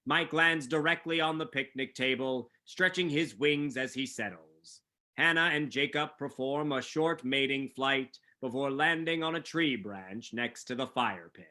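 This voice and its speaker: A man's voice: medium pitch, measured pace, bright, forceful tone. A man narrating stage directions from a script at a staged reading.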